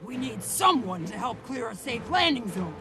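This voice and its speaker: An actress playing a brisk, urgent airship captain in a video game, clipped and commanding. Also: female voice, a little high, very animated, over music. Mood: urgent appeal. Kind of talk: video game cutscene acting.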